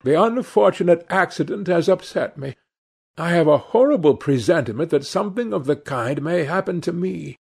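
A man voicing a troubled, melancholy young gentleman in an audiobook, plaintive, earnest and polite. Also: on the high side, animated, moderate pace, gravelly, breathy edge, voice pushed up, full character voice. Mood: sad, anxious.

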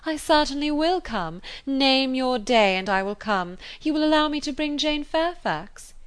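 A woman's voice: sits high, expressive, voice pushed up. A woman voicing a pompous, chattering married society lady who gushes and drags out her emphasis. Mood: gushing. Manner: gushing, self-important, drawn-out emphasis. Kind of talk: audiobook character reading.

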